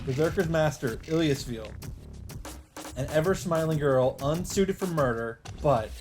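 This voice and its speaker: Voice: mid-range voice, expressive, measured pace, darkish tone, faint music behind. A let's player reading a visual novel aloud in dramatic narration, breaking off for casual asides and exclamations.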